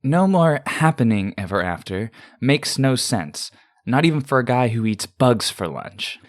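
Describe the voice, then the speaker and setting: A man playing a patient but weary clerk in a comic dub, speaking in a deliberate, didactic way. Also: mid-range voice, big pitch swings. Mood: exasperated, instructing.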